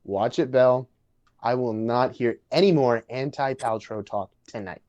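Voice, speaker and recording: A man's voice: mid-range voice, normal speed, darkish tone, dull audio, lightly acted. A man playing an awkward, chatty young man in a casual dramatic reading, hesitant and stammering. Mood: mock stern. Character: awkward, chatty young man named Bartram on a blind date.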